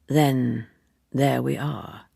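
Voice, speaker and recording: A woman reading the part of a grave, formal older gentleman writer in a storybook, husky and halting, with a wounded air. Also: rumbling low, fairly even intonation, dry recording, clean studio sound, voice pushed down, full character voice. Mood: resigned.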